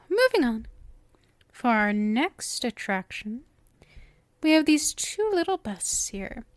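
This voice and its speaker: Woman acting a slow, high-voiced lovestruck witch. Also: dry recording. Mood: playful, affectionate. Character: sweet, doting, obsessive yandere witch, playful and lovestruck. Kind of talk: ASMR roleplay acting, addressed to the listener. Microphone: close microphone.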